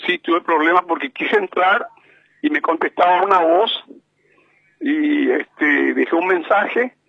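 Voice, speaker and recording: A man with a Paraguayan Spanish accent, calling into a radio show and speaking slowly with many hesitations. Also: a little high, gravelly, breathy edge, no room sound. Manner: slow and measured, with many hesitations. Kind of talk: slow deliberate radio commentary. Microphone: telephone. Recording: muffled phone line.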